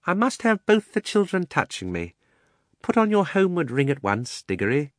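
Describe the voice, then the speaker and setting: A man voicing a cunning, cowardly old gentleman magician, pompous and obsequious, in a storybook reading. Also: fairly high pitch, wildly swooping pitch, a little fast, dry recording, clean studio sound, pitched above the performer's own voice, full character voice. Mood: cunning, ingratiating.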